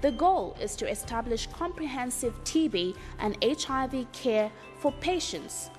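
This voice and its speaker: A woman reading scripted health education narration for a documentary in a measured, formal way. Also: high voice, lively intonation. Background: music.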